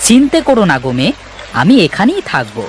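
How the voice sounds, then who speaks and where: Cartoon river boatman, male actor: good-natured and obliging, very expressive pitch, over a slightly noisy outdoor bed. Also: quick pace. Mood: kindly, reassuring. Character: humble, good-natured village river boatman, cheerful and devoted. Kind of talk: animated story character acting.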